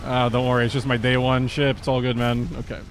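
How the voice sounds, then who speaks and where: A man's voice: mid-range voice, little pitch movement, fast, background noise. A young man chatting casually as a game streamer, reacting live from a bedroom on a close microphone. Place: bedroom, indoors. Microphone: close desk microphone with headset.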